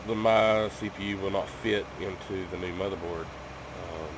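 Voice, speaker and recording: A man's voice: deep voice, fairly even intonation, unhurried, background noise. A man who is sick with a cough, chatting casually to his viewers at home in a rambling way, full of ums and restarts. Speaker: gaming YouTuber.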